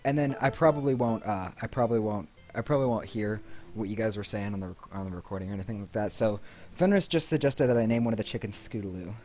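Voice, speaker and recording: Low male voice, casual gaming host, rambling and self-deprecating, game music behind. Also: fast, a little mellow. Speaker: let's play host. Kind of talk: casual let's play commentary. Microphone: desk microphone picking up the room.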